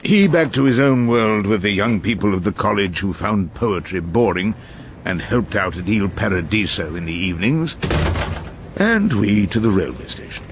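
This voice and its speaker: A man playing a wry, irascible old barrister in a radio drama, with an English accent and an unhurried, ironic delivery. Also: lowish voice, animated, noisy background, close to an everyday voice. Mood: wry narration. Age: older. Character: irascible, wry old male barrister narrating his cases. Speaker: barrister and narrator. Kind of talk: radio drama dialogue and first-person narration.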